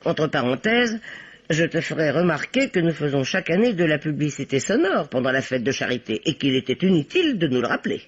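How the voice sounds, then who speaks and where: Bossy, husky spinster sleuth, wildly animated. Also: female voice, low voice, fairly quick, heavily acted. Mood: assertive. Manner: theatrical, emphatic, authoritative. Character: bossy older spinster playing amateur detective, self-assured. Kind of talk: old French radio play acting. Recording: dull, lo-fi old radio recording.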